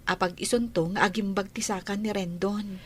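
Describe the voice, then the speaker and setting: A woman with a Filipino accent playing a gentle, grateful young wife in an audio drama. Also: mid-range voice, fairly even intonation, normal speed, husky, close to an everyday voice. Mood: hopeful, grateful.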